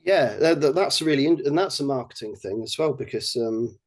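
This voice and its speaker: A man with an English accent chatting as a podcast guest about his research project, talking haltingly with many fillers and restarts. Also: medium pitch, animated. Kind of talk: casual podcast chat.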